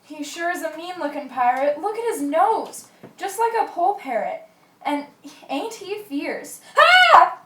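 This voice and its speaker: Woman doing a jumpy superstitious sailor, really high sharp voice, folksy, amateur radio play. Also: a little slow, far from the mic, full character voice. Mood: wary, then terrified. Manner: excitable, folksy, exclamatory. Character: jumpy, superstitious young-sounding sailor sidekick, easily terrified. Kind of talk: amateur radio drama acting. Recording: echoey room, away from mic.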